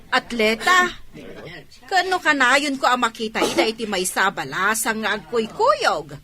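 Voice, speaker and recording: A woman's voice: on the high side, very animated, measured pace, crowd noise. A woman playing a gossipy, insinuating neighbour in a radio drama, with an Ilocano accent.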